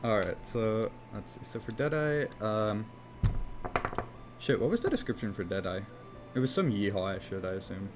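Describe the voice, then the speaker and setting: A man casually chatting on a game development live stream, rambling and swearing easily, with a somewhat monotone voice. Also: lowish voice, normal speed, noisy background. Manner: rambling, laid-back, swears casually, frequent "like" fillers.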